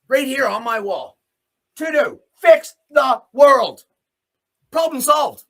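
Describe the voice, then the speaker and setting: A man's voice: very high-pitched, animated, slow pace, a bit bright. A man hosting a solo commentary show, delivering a blunt, off-the-cuff opinionated monologue with free use of swearing.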